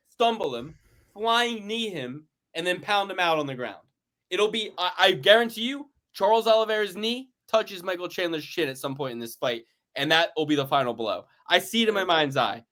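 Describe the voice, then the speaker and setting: A man hosting a casual fight-betting podcast, playful and animated, swearing as he teases his co-hosts. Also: high voice, moderate pace. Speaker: podcast host.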